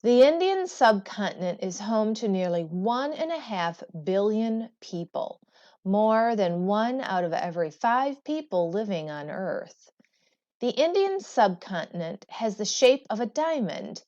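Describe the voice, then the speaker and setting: Female teacher, unhurried and expressive, reading educational text aloud with short asides; slightly muffled recording. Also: mid-range voice. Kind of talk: textbook read-aloud with brief asides.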